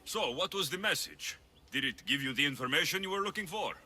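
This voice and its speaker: Wry, bright-voiced game mercenary. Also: male voice, on the high side, expressive, brisk, faint music behind. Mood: impatient. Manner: wry, teasing, blunt. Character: dry, sardonic, confident ancient Greek mercenary hero. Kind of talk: video game character acting.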